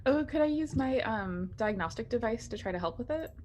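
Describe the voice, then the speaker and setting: A woman's voice: fairly high pitch, expressive, a little fast, darkish tone, slight background noise. A woman playing a tabletop roleplaying game, chatting casually and asking things in a tentative way.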